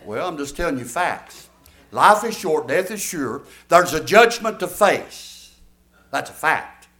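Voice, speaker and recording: An older man preaches from the pulpit, his voice pitched a little high. He is folksy and emphatic, putting questions to the congregation. The sound carries through an echoey church room. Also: lively intonation, a little slow, sharp tone, soft background music. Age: 70s. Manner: folksy, emphatic preaching with audience call-and-response. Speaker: pastor. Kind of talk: sermon.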